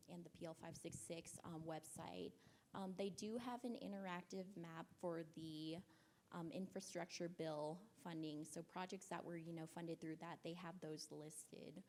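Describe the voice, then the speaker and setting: A woman with a lowish, quiet voice answers questions on a conference panel. She hesitates often and fills her pauses with ums, and faint noise sits underneath. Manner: soft-spoken, hesitant with many ums. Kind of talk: conference talk.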